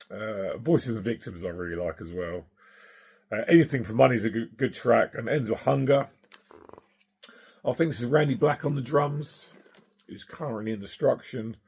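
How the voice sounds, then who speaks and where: Hoarse middle-aged guy, croaky gravelly voice, chatty and keen, lots of ums. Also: mid-range voice, darkish tone. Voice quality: gravelly, husky. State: hoarse from singing. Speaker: vinyl collector vlogger. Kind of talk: casual record collection show-and-tell.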